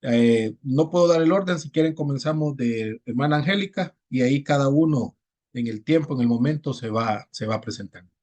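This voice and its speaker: A man acting as pastor and teacher, welcoming students to an online class in a relaxed way and often adding "¿verdad?". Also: mid-range voice, moderate pace. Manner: relaxed, repeats words and adds "¿verdad?" often. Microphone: video call.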